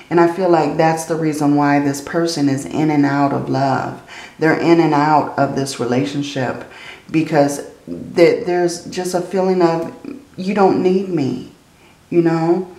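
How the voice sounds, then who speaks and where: Slow, low-voiced woman with a strained voice. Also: warm tone, echoey room. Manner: slow, soothing, often adds "okay?" and "I feel like". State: tired, strained voice. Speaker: tarot reader. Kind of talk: casual tarot reading. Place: indoors at a table, hands seen handling cards.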